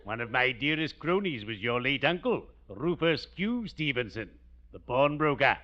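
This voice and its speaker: An actor playing a gloomily jovial, genteel undertaker with a formal, drawn-out delivery in 1940s radio comedy style. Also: male voice, medium pitch, very animated, natural voice. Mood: macabre cheer. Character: genteel, gloomily jovial small-town undertaker, a family friend. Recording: dull, noisy vintage 1940s radio recording.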